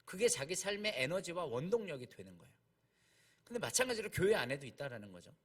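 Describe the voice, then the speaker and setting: Male preacher, slightly high voice with highly varied intonation; explains conversationally, repeats key points, asks rhetorical questions. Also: sing-song, fast talker, a bit bright. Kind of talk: conversational expository sermon.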